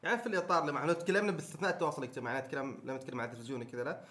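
A man's voice: fairly high pitch, steady tone, very fast, bright, forceful tone. A man with an Omani Arabic accent talking casually and debating as a podcast co-host. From Oman.

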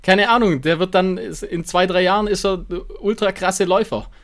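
A man co-hosting a podcast in casual, friendly debate, halting and rephrasing himself as he goes. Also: high-pitched. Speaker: podcast host.

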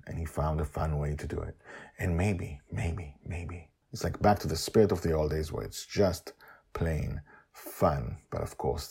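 A man hosting a story podcast, narrating in an animated, theatrical and emphatic way. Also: sits low, creaky, breathy edge.

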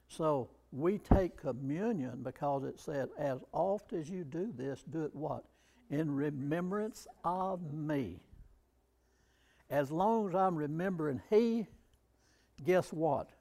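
A male preacher delivering a sermon with a gravelly, slightly raised voice. He speaks very slowly and drives each point home with emphatic rhetorical questions. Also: a little high, lively intonation, dark tone.

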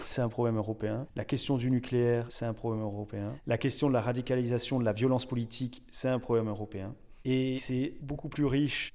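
A man's voice: medium pitch, a little mellow. A man, a screenwriter and director, speaking in an interview, thoughtful and hesitant with frequent fillers. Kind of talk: interview testimony.

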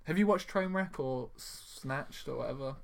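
A man's voice: mid-range voice, measured pace, creaky, slight background noise. A man co-hosting a casual film podcast, rambling and emphatic as he complains. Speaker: podcast co-host. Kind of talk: casual film review chat.

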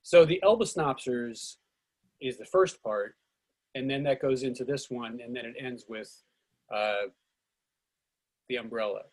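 Husky man hosting an informal discussion. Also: mid-range voice, darkish tone. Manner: halting, digressive. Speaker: actor and director hosting a play reading. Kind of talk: casual discussion.

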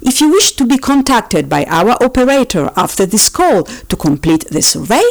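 A woman voicing a politely officious automated phone-menu system, reading scripted options formally with drawn-out, sing-song emphasis. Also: mid-range voice, sing-song, vocal fry. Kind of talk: recorded phone-menu announcement, scripted parody.

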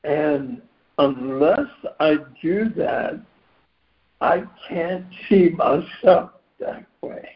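A hoarse man speaks very slowly and deliberately, his pitch sitting a bit high for a man. He keeps clearing his throat as he shares his spiritual reflections. The phone line sounds dull and lo-fi. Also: lively intonation. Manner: slow and measured, clears his throat often. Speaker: participant in a spiritual reading group call. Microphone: phone on a conference call. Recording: dull lo-fi conference call line.

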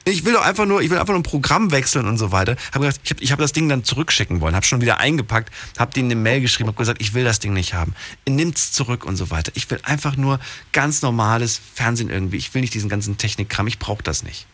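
Radio host, man, mid-pitched, chatty and exasperated, dry close studio sound. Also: fast, no echo. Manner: animated, exasperated, full of restarts. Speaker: radio talk show host. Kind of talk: casual radio phone-in talk.

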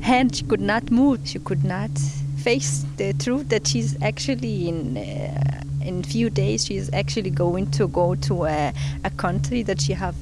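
A woman who speaks as a non-native speaker, giving an emotional testimony and searching haltingly for her words. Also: mid-range voice. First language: not English. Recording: loud background noise over the voice.

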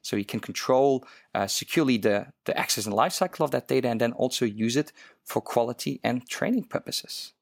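A man's voice: medium pitch, fairly quick, no room sound, clean studio sound. A man from Luxembourg co-hosting a casual tech podcast, talking with enthusiasm and lots of fillers and restarts. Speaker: co-host, developer advocate.

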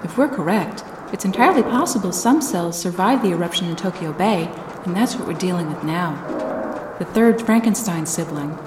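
A woman's voice: lowish voice, expressive, moderate pace, natural voice. A woman playing an earnest monster scientist in an audio drama, explaining her theories carefully. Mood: earnest, insistent. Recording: reverberant, echoey, noisy.

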